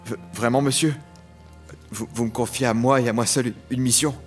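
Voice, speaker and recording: A man's voice: mid-range voice, steady tone, normal speed, close to an everyday voice. A man performs a young outlaw apprentice in a radio play. He is earnest, deferential and devoted, with a middle-range male voice. Music plays beneath him. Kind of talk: audio drama acting and first-person narration. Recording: music under the voice.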